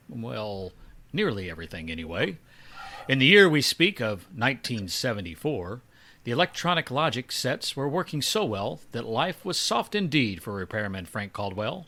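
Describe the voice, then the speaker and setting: A man's voice: mid-range voice, bright, forceful tone. A man giving scripted introduction and narration as the announcer of a radio show. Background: music.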